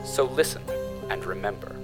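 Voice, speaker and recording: An actor playing a solemn sponsor announcer introducing a dystopian broadcast drama in a measured, scripted way. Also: male voice, low voice, close to an everyday voice. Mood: solemn, promotional. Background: plucked guitar music.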